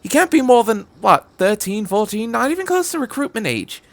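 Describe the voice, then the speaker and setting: A man voicing a brash, excitable, incredulous time-travelling doctor in a story reading, animated and full of questions. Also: extremely high pitch, brisk, creaky, no echo, pitched above the performer's own voice, heavily acted. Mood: excited, curious.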